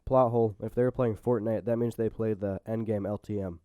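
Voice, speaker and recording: A man's voice: fairly low pitch, fairly even intonation, brisk, dry recording, narrow, tinny audio. A man co-hosting a podcast, adding short, casual remarks to the conversation. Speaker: podcast co-host. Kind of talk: casual chat.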